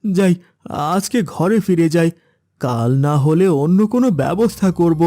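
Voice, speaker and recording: A man's voice: a little high, animated, unhurried, mellow. A man voicing a poor, plaintive village sweet-seller and husband in a moral-story cartoon. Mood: despairing. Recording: music behind the voice.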